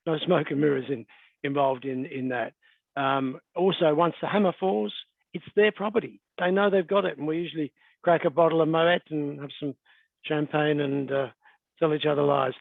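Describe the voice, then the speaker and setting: A middle-aged Australian man talks through a webinar in an easygoing, chatty way, his voice a little croaky from a cold. He sits on the higher side for a man. The sound is thin and phone-like, dry, as from a video call. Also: dry recording. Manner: relaxed, conversational, frequent fillers and throat clearing. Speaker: real estate agent and auctioneer. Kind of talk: webinar presentation.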